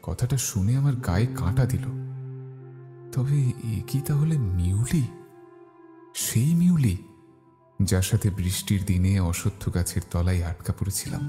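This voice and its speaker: A man's voice: fairly low pitch, very animated, measured pace, pauses often, mellow, faint music behind. A man narrating a scripted horror story in the first person.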